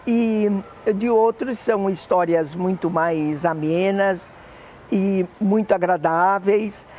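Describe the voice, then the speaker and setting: An older woman with a Brazilian Portuguese accent, fondly recalling family memories in an oral history interview, often pausing to correct herself. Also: medium pitch, a little mellow, slight background noise. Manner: fond, meandering reminiscing with self-corrections.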